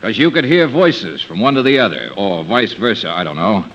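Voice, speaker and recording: An actor playing a pushy, wisecracking amateur sleuth, slangy and needling in 1950s radio drama style. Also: male voice, lowish voice, lively intonation, moderate pace, a bit bright. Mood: needling, dogged. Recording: dull vintage radio recording, faint noise.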